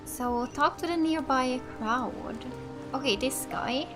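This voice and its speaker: A woman's voice: high-pitched, takes it slowly, music in the background. A woman with a Finnish accent giving casual, easygoing game commentary and reading dialogue choices aloud. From Finland. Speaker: gamer, let's player.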